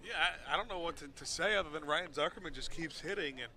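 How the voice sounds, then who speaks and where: A man's voice: fairly high pitch, fast talker, sharp tone. A man giving conversational live baseball analysis as color commentator, outdoors at a ballpark. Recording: crowd noise at outdoor ballpark. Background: ballpark crowd.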